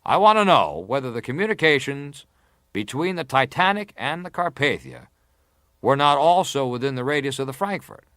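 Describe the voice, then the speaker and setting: Formal senator, probing. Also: male voice, medium pitch, sing-song, moderate pace, fairly bright tone, dry recording, studio quality. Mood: insistent, stern. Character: persistent, formal senator questioning a witness at an inquiry. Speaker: interrogator at a hearing. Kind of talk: dramatised reading of hearing testimony.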